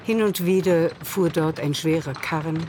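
A woman's voice: low voice, normal speed, a little mellow, a little hiss or hum. A woman narrating a literary radio play in a scripted, storytelling style.

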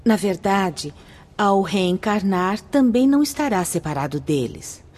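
A Brazilian woman playing a calm, authoritative spirit mentor in a radio drama, speaking in a measured, formal, didactic manner. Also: mid-range voice. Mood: grave explanation.

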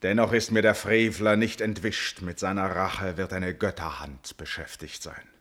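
Wrathful royal father, deep voice, hard-edged and tense, solemn declamation, classical radio drama. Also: steady tone, normal speed, fairly bright tone. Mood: grim, vengeful. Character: wrathful, wounded royal father cursing his son. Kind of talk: classical verse tragedy radio play.

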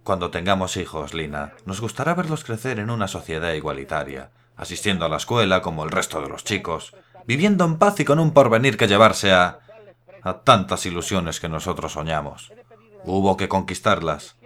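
A man's voice: sits low, expressive, moderate pace, fairly bright tone. An actor in a radio drama playing an idealistic young republican man, speaking earnestly and with passion. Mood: impassioned. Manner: earnest, impassioned.

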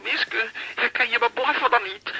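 A man's voice: extremely high pitch, moderate pace, gravelly, background noise. An actor voicing a loyal male talking dog in a children's radio play. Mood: sad.

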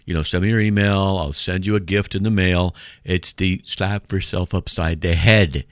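Deep-voiced joking radio host. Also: male voice, steady tone, moderate pace, dry recording, clean studio sound. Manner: joking, sarcastic. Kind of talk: call-in radio talk.

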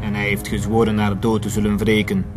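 An actor playing a menacing militant in an audio drama, taunting and deliberate. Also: male voice, on the low side, monotone, music in the background, natural voice. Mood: angry, accusing. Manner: taunting, deliberate, switching between Dutch and Spanish. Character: menacing Basque militant, interrogating man.

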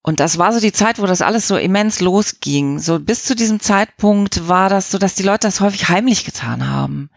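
A woman's voice: mid-range voice, animated, brisk, vocal fry, hardly any pauses, dry recording, highs cut off. A middle-aged woman giving casual, reflective interview answers, thinking aloud in long, rambling explanations. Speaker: audio drama producer and editor, interviewee.

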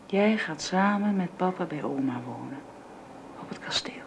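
An actress playing a gentle, anxious young housekeeper and nanny in a radio drama, speaking hesitantly. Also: female voice, fairly low pitch, expressive, normal speed, vocal fry. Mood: sad, gentle. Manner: hesitant, deferential to employer. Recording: dull, noisy old radio recording.

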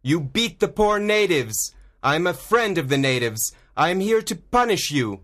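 A man voicing a courteous young nobleman and masked hero in a read-aloud story, calm and polite. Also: sits high, normal speed, voice pushed up. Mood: bold.

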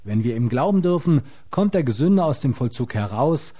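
A man's voice: medium pitch, sing-song, normal speed, warm tone, husky. A man working as a reporter, reading scripted narration.

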